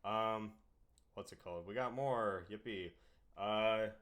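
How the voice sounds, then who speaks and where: Low-voiced man drawling through podcast chat. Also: takes it slowly, fairly bright tone. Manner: drawling, trails off into mumbles. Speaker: podcast host.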